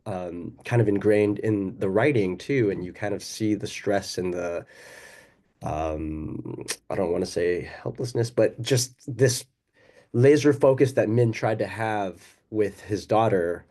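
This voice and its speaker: A male actor talks in a fairly low voice, relaxed and joking about himself. He laughs between thoughts and speaks in a loose, conversational way. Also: unhurried. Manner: casual, laughing, self-deprecating. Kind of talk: festival Q&A answer.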